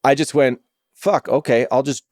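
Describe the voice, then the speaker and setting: A middle-aged man, an actor, telling stories on a podcast in an animated way and swearing freely. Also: mid-range voice, moderate pace, no echo, studio quality. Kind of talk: casual podcast chat.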